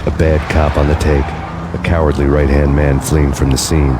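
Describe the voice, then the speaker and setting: An actor voicing a grim, fatalistic man in a video game, brooding and deadpan in hard-boiled noir style, with loud music behind him. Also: very deep voice, normal speed, very noisy.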